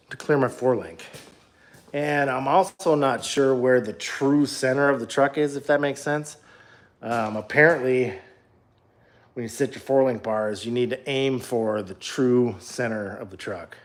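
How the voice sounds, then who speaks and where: A man in his thirties from Minnesota with a Minnesotan accent, chatting casually on a live stream while working in his home garage, rambling and self-deprecating, talking into a phone. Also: mid-range voice. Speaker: livestreaming truck builder. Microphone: phone held at arm's length, later set down away.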